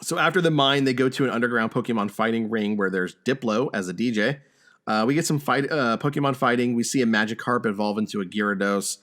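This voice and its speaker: A man with a medium-pitched voice talks casually as a podcast host. His delivery is halting, with stammered starts as he works through his thoughts in conversation. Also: a bit bright. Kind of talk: casual movie discussion.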